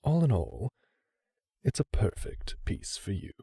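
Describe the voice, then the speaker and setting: A man performs a deferential, polished arms sommelier in an assassin audio roleplay. His voice is husky and mellow, captured close and dry. He phrases everything with formal, measured courtesy. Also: fairly low pitch, huge pitch swings, no echo, full character voice. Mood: suave, expert. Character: courteous, refined male weapons sommelier serving assassins. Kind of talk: roleplay audio drama acting.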